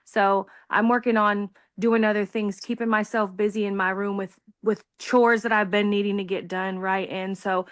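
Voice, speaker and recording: A woman's voice: mid-range voice, little pitch movement, dry recording, clean studio sound. Candid woman sharing on a group call. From California. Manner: candid, earnest, halting with restarts. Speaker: support group member. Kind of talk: casual group chat. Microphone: video call.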